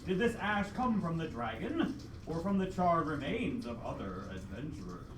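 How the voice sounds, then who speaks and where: Man acting a high-pitched melodramatic heroic adventurer. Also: sing-song, moderate pace. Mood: brave, dramatic. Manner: melodramatic, solemn storyteller. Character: heroic male adventurer knight narrating his dragon quest. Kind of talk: old-time radio adventure play. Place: live theatre stage with audience. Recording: echoey live hall, distant mic, noisy.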